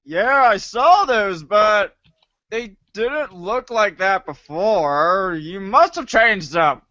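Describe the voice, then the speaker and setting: A man's voice: sits high, expressive, slow, sharp tone, dry recording, heavily acted. A man playing an exasperated, suspicious young boy in an amateur readers' theater reading of a webcomic. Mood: indignant accusing. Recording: dull lo-fi microphone sound.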